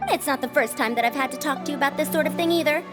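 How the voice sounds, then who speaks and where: A woman's voice: high-pitched, very fast, over music, natural voice. A woman playing a proud, bossy senior academic in a video game, lecturing in a self-assured, theatrical way. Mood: reproachful.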